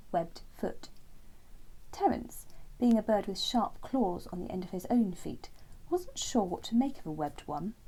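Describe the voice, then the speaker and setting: Lady reading a kids bedtime story, soft n husky, loads of expression in the pitch. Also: rough recording. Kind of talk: bedtime story narration for children.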